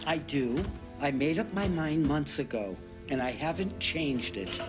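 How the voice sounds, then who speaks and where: An actor playing a genial, resolute elderly gentleman hobbit in an audio drama, with a slightly fussy manner. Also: on the high side, animated, a little slow. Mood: fond, resolute. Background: music.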